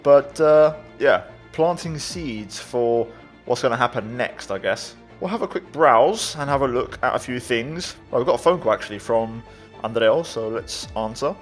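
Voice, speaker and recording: A man hosts a gaming video with casual commentary. His voice is mid-pitched. Soft music plays faintly underneath. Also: lively intonation, moderate pace. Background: faint game music.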